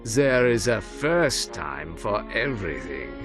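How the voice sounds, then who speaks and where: An actor playing a suave, menacing villain in a cartoon spy spoof, speaking with theatrical mock courtesy. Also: male voice, fairly low pitch, big pitch swings, slow pace, over music, heavily acted. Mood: silky menace. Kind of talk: spy parody dialogue.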